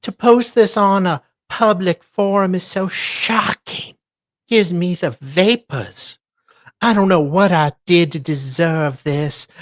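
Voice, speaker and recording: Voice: mid-range voice, no room sound, studio quality, lightly acted. A performer voicing an aggrieved, self-righteous woman in a melodramatic, pompous dramatic reading.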